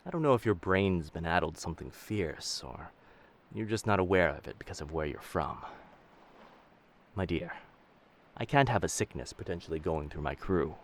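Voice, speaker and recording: A man playing a dangerous but gentle pirate captain in an intimate roleplay audio drama, protective and commanding, with old-fashioned seafaring speech. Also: low-pitched, animated, moderate pace, a little hiss or hum. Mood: firm, urgent.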